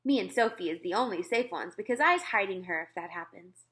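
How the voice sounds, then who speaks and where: A woman's voice: mid-range voice, expressive, brisk, fairly bright tone, natural voice. A woman voicing a big, friendly male giant in a children's storybook, speaking emphatically with quaint, muddled grammar. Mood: cheerful warning. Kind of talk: children's storybook read-aloud.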